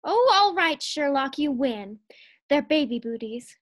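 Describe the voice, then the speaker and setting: A woman's voice: high-pitched, sing-song, a little slow. A woman playing a teasing, coy, scatterbrained young wife in a 1940s-style radio comedy.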